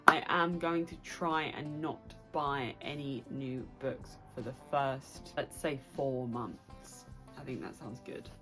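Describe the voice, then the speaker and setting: A young British woman chatting casually and excitably to her viewers as a book vlogger. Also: very deep voice, slow pace, soft background music, low-quality recording. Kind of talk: casual chatty vlog to camera.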